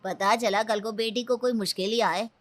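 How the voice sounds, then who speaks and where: A woman's voice: fairly high pitch, fairly even intonation, normal speed, soft background music, natural voice. A woman voicing a calculating, haughty middle-aged in-law in a story, evasive and self-righteous. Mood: indignant.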